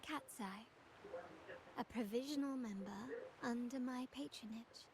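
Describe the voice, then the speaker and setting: A woman playing a poised, calculating young heiress of a powerful family in a video game, speaking in a measured, formal way. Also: a little high, a little hiss or hum, natural voice. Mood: formal, composed. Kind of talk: scripted game dialogue.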